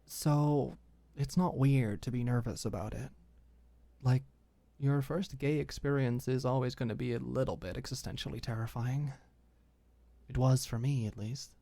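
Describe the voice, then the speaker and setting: A woman playing a frank, caring young woman who gives careful, halting advice in an intimate audio drama. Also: very deep voice, fairly even intonation, mellow, dry recording. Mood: frank, reassuring. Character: Alicia, frank, caring, tentative young woman advising a friend.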